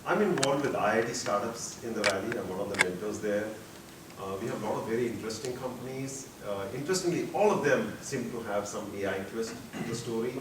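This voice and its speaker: A man's voice: medium pitch, expressive, fast. A man in a conference audience introducing himself plainly and then building up to his question. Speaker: audience member asking a question. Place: large conference hall. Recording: echoey hall from far off, loud hiss.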